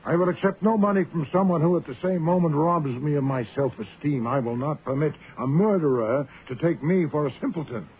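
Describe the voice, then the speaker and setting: A man's voice: a little high, lightly acted. Tinny old-radio pompous detective played by a man. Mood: scornful. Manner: pompous, erudite, deliberate, theatrical phrasing. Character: pompous, shrewd, imperious middle-aged male private detective. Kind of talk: radio mystery drama acting. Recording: narrow, tinny, noisy old-time radio recording.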